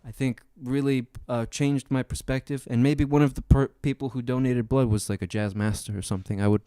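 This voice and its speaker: A young man from Sweden, a jazz pianist, answering interview questions in relaxed, chatty storytelling full of fillers. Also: mid-range voice, a little fast, clear, resonant tone, no echo, studio quality.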